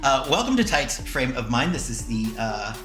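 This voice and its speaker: A man hosts a casual podcast in a wry, rambling way. His voice is bright and forward, in an echoey room with faint music behind it. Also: mid-range voice, big pitch swings, moderate pace. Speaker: podcast host. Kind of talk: casual chat.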